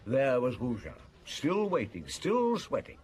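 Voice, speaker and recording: An actor playing a shrewd middle-aged Paris police chief, who recalls a case in a wry, chuckling way for a radio drama. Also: male voice, medium pitch, wildly swooping pitch, measured pace, a little mellow. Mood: reminiscing. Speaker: narrator and detective.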